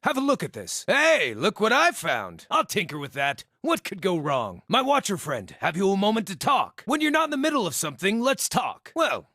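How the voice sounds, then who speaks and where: A man voicing an irreverent, excitable, furry shapeshifting druid in a video game, with a wry, cheeky, theatrical delivery. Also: high-pitched, moderate pace, few pauses, dry recording, studio quality, exaggerated voice. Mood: wry, curious. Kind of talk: video game character dialogue and battle barks.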